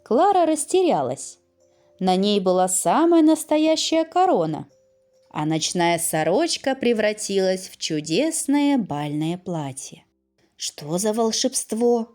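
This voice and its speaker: A woman reading a scripted children's fairy tale in an expressive, deliberate storytelling manner with dramatic pauses. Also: mid-range voice, soft background music.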